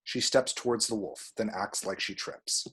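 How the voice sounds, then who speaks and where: Low, slightly husky man narrating a script. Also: steady tone, moderate pace, a bit bright. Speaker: reader of action descriptions.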